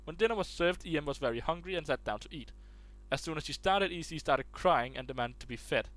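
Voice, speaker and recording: A man's voice: fairly high pitch, animated, brisk, a bit bright. A man reading online posts aloud in a casual way, stumbling and restarting words as he goes.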